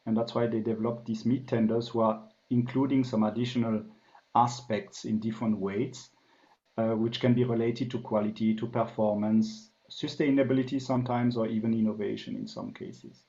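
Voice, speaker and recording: A man's voice: medium pitch, little pitch movement, moderate pace, mellow, highs cut off. A man from France with a French accent tinged by years in Germany, a guest consultant explaining things thoughtfully with many "um"s in a business podcast interview. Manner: thoughtful, filler-heavy explanations.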